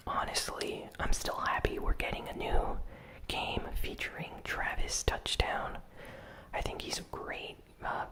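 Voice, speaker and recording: A host giving a whispered ASMR recap of gaming news, in a soft unvoiced whisper with relaxed, casual asides. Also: low-quality recording. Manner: unhurried, with frequent "you know" and "uh" fillers.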